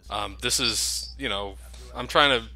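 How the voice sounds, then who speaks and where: A man's voice: fairly low pitch, unhurried, vocal fry, sharp tone, rough recording. A man commentating over a video game, joking sarcastically and swearing freely. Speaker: let's play commentator. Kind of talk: casual gameplay commentary.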